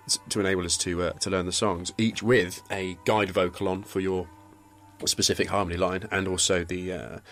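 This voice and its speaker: Male actor in relaxed interview, low voice, faint music underneath. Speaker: actor interviewed behind the scenes. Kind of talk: casual interview chat.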